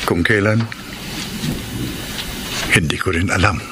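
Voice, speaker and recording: A man's voice: low voice, takes it slowly. A man playing a wounded, brooding husband and father in a solemn drama, with music behind. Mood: hurt, bitter.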